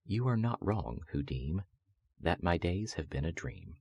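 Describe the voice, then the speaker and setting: A man with a husky voice reciting gothic poetry as a voice-over artist, in a solemn, measured, theatrical way meant to soothe listeners toward sleep. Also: very animated, a little mellow, lo-fi sound. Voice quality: husky, very deep voice.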